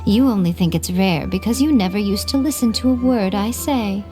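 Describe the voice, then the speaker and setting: A woman's voice: medium pitch, moderate pace, mellow, soft background music, natural voice. Sly, teasing shrine spirit lady, played by a woman. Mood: teasing, wry. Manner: wry, teasing, leisurely phrasing. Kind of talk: video game character acting.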